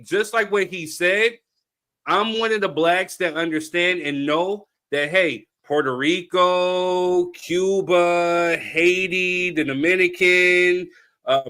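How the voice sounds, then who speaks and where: A man's voice: sits high, sharp tone, smooth. An American man hosting a casual open discussion, conciliatory and often repeating himself. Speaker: host.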